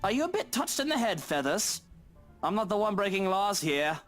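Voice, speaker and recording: A man's voice: sits high, very animated, normal speed, a bit bright, faint music behind, voice pushed up. Haughty, swooping sardonic man acted by a man. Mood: mocking, angry. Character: haughty, sardonic, short-tempered armed homeowner, male. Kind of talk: dramatic visual novel read-aloud.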